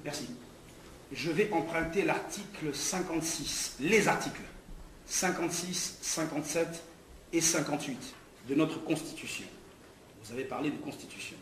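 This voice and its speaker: A man's voice: on the high side, moderate pace, a bit bright. Distant, reverberant recording with some noise. Male political orator, Congolese accent, didactic and emphatic delivery. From Democratic Republic of the Congo. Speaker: political speaker, activist. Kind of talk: impassioned political speech to a gathering. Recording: distant, echoey room with background noise.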